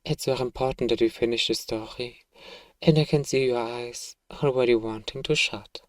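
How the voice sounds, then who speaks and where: A man playing a self-righteous evil emperor, softly and coaxingly telling a bedtime story to a child in an ASMR roleplay. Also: medium pitch, fairly even intonation, normal speed, no echo, natural voice. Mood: smug soothing.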